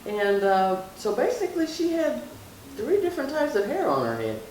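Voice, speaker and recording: A woman's voice: on the high side, sing-song, moderate pace, a little mellow. A middle-aged woman reminiscing casually as an interviewed mother, rambling and repeating words for emphasis. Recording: echoey, distant mic, faint noise.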